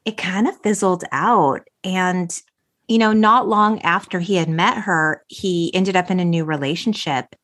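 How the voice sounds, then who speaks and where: Woman podcast host, bit on the low side, articulate, engaged, half recap half chat. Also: unhurried, a bit bright. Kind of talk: conversational hosting, part scripted film recap.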